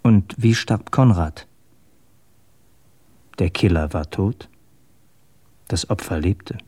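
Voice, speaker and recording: An actor playing an inquisitive, doubting junior police colleague in a German radio crime drama, puzzled and questioning. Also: male voice, deep voice, takes it slowly, mellow, husky, no echo, full character voice. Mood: puzzled, probing.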